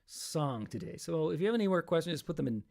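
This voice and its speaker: Middle-aged musician host, raspy and a bit worn, high voice, loose rambling self-deprecating patter. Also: male voice, big pitch swings, fast talker, darkish tone. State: voice tired from practice. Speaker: musician hosting a live stream. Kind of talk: casual live stream chat between songs.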